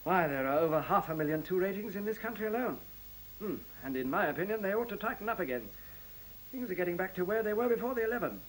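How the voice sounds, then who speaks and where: Animated executive, higher-voiced. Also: male voice, fast talker, poor audio. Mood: genial, animated. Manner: hesitant, hemming and exclaiming. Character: genial, busy male executive in a future world. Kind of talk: radio play dialogue.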